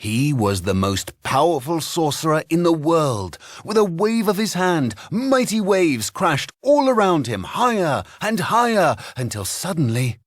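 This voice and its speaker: Slow, animated male storybook narrator. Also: on the high side, no echo, clean studio sound. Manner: expressive, dramatic storytelling. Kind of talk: scripted children's story narration.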